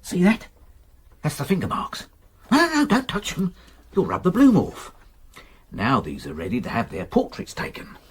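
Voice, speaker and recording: An actor playing a wry, meticulous English manservant in a radio drama, speaking formally and precisely with dry, long-suffering patience. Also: male voice, sits high, huge pitch swings, moderate pace, long pauses, husky, full character voice. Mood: fussy, instructive. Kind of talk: British radio drama acting.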